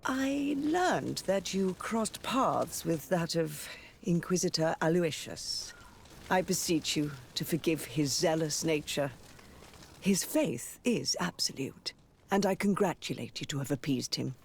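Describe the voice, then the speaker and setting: An actor playing a dignified, pious high cleric in a video game, speaking formally and solemnly. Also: expressive, a little slow, vocal fry, slight background noise. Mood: gracious, solemn.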